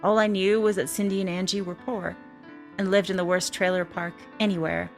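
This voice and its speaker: A woman's voice: medium pitch, fairly even intonation, a little fast, natural voice. An actress playing a hard-boiled female ex-trafficker, narrating her own story in a frank, crude, wry way for a film. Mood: bitter, wry. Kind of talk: first-person film narration. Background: music.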